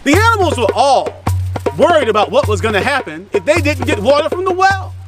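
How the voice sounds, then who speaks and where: A man's voice: very high-pitched, very animated, brisk. A man telling a children's story in a dramatic, sing-song way, repeating words for effect. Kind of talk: animated story narration. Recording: off-mic, loud music underneath.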